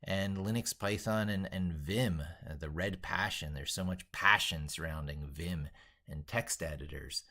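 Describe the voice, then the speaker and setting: A man's voice: deep voice, a little slow, pushed and bright. A man hosting a casual, unscripted livestream, rambling as he thinks aloud. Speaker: livestream host.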